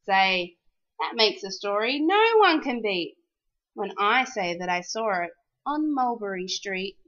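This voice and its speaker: A woman reading a rhyming children's storybook aloud in an expressive, animated, sing-song way. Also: medium pitch, unhurried, muffled recording.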